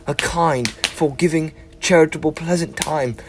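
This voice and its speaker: A man's voice: on the high side, measured pace, a little hiss or hum. A young man acting as a cheerful, earnest nephew in a school stage play, pleading and speechmaking. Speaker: stage actor.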